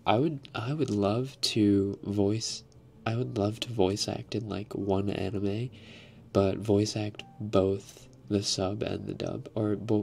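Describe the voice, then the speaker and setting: A young man hosting an ASMR live stream, answering chat in a soft, half-whispered, slightly raspy voice and laughing often. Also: lowish voice. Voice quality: a little raspy.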